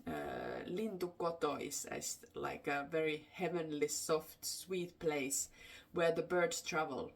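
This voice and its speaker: A woman with a Finnish accent, a native Finnish speaker, telling folklore unscripted in a halting way, searching for her words. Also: sits low, very animated, vocal fry. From Finland. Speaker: storyteller. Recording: distant microphone.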